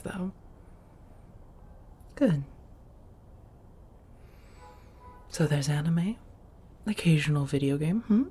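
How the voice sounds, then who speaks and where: A woman playing an affectionate, teasing vampire roommate in a soft-spoken ASMR roleplay, breathy and intimate, often half-whispering. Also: sits low, heavily acted. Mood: playful teasing. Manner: intimate, teasing, coaxing with pet names.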